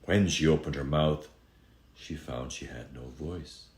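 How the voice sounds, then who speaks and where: A man reading a fairy tale aloud as a dramatic storyteller, expressive, with drawn-out emphasis on key moments. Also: extremely low pitch. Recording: echoey room.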